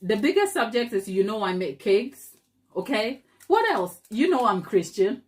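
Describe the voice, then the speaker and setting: Ghanaian woman, channel host on a live stream, direct and emphatic, fond of rhetorical questions, mid-pitched voice. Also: sing-song, a little slow. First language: not English. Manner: emphatic, talks straight to viewers, rhetorical questions. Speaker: cake maker and channel host. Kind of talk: live stream chat to viewers.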